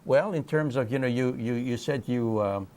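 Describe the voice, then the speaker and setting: Halting male talk-show host. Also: mid-range voice, darkish tone. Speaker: television program host. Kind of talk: conversational interview.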